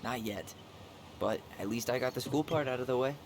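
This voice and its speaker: A man's voice: medium pitch, a little fast, natural voice. Actor as an earnest young American man, noisy background. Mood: subdued. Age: young adult. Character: earnest, dryly joking young man, passionate about wildlife. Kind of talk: naturalistic video game dialogue acting. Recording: game stream with clear background noise.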